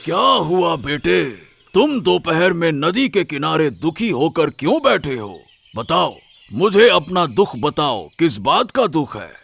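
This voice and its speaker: A man voicing a kindly older stranger in a moral-story cartoon, soothing and probing with a raspy voice. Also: on the high side, very animated, a little slow, fairly bright tone, no echo, exaggerated voice. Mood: concerned. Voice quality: raspy, gravelly.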